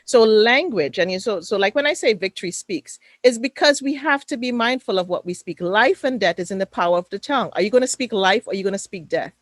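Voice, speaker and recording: A woman's voice: medium pitch, animated, a little fast, few pauses, no room sound. A woman with a Trinidadian accent speaking on a webinar panel over a video call, emphatic and preacher-like in her cadence. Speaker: panelist, mental fitness coach.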